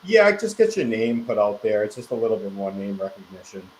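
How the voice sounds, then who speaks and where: A man's voice: on the low side, big pitch swings, fairly quick, echoey room, rough recording. Brief: forties male guest, laid-back chat, faint hiss. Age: 40s. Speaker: guest, arm wrestler. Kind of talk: casual chat.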